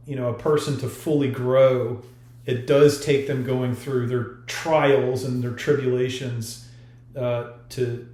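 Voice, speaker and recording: Male author, podcast guest, mid-pitched voice, thoughtful explainer, roomy echo on the recording. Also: a little mellow. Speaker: author being interviewed. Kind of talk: podcast interview about a novel. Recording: roomy, echoey sound.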